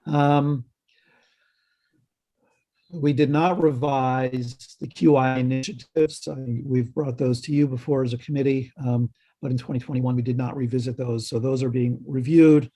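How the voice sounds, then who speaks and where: A man giving a halting update at a health committee meeting over a video call, slightly hoarse, with many ums and restarts. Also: mid-range voice, dark tone. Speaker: health department staff presenter.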